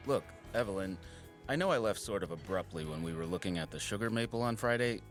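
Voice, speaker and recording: A man's voice: on the low side, expressive, fast, faint music behind, lightly acted. A man playing a courteous, apologetic divorced dad in an audio drama, speaking in a polite, conversational way. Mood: apologetic, explaining. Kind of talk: naturalistic audio drama dialogue.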